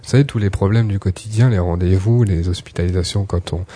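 Mellow low-voiced radio host, male. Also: fast talker, breathy edge, no echo. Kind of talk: radio talk, reading out listener questions.

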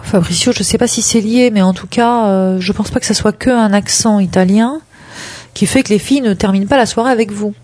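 A woman answering listeners' love questions on a radio show, frank and direct, with many hesitations. Her voice is husky and mellow. The studio sound is dry and close. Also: mid-range voice, a little fast, no room sound. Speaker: relationship advice guest. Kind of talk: radio advice talk.

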